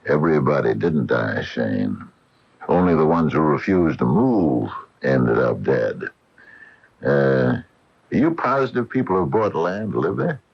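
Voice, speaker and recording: An actor playing a gruff, folksy American hardware store owner, wary and emphatic, in a radio drama. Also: male voice, very deep voice, sing-song, a little slow, exaggerated voice. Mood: troubled, indignant. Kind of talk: 1980 radio drama acting. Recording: dull lo-fi 1980 radio broadcast.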